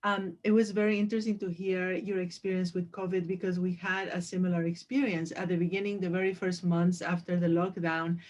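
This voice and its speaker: A woman from Peru who is a sleep physician, speaking over a video call in a gracious, measured way. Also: fairly low pitch, flat delivery, darkish tone.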